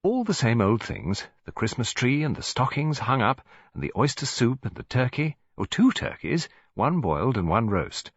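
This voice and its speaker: A man's voice: mid-range voice, big pitch swings, moderate pace, slightly rough, no echo, lo-fi sound. A man voicing a genteel, practical English lady near seventy, who chatters politely in an audiobook story. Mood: chatty, cheerful. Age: older. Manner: chatty, rambling, polite tag questions.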